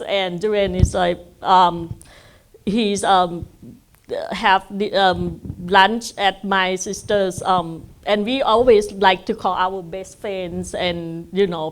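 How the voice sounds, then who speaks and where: A middle-aged woman from northeastern Thailand with a Thai accent, giving an informal presentation to an audience in a halting way, with many fillers. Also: medium pitch, a bit bright. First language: Thai (Isan dialect). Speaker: guest speaker. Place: community center event room.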